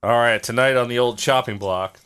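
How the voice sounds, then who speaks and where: A man's voice: mid-range voice, fairly quick, fairly bright tone, clear, resonant tone. A man hosting a podcast in casual, joking banter, with a congested, nasal voice. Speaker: podcast host.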